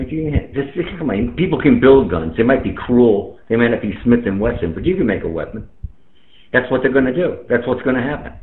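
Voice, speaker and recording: Through a narrow, tinny radio link with noticeable room echo comes an American man with a mid-pitched voice. He talks casually but emphatically, with streetwise phrasing and repeated lines for effect. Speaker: amateur radio operator in a round-table chat. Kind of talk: casual chat over the radio. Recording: tinny, echoey radio transmission.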